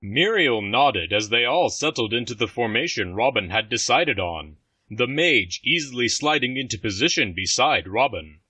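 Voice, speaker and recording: A man's voice: mid-range voice, lively intonation, normal speed, bright, forceful tone, husky, no room sound, soft background music. A man narrating a scripted fantasy story as the narrator of an audio drama.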